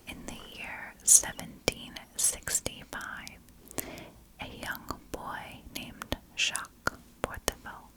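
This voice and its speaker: A woman narrating a scripted tale in ASMR style, in a hushed and deliberate storytelling manner. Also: rough recording. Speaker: ASMR storyteller and channel host. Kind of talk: whispered ASMR scripted narration of a historical legend.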